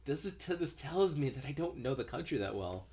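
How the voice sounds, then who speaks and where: A man's voice: medium pitch, sing-song, brisk, darkish tone, slightly rough. A young American man chatting casually in a quiz, stammering and putting himself down when he gets stuck. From United States. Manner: hesitant, stammering, self-deprecating. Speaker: quiz co-host.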